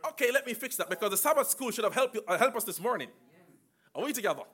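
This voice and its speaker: High, bright-voiced male preacher. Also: expressive, husky. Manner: emphatic preaching with call-and-response, sudden slow drawn-out phrases and shouts. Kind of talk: sermon.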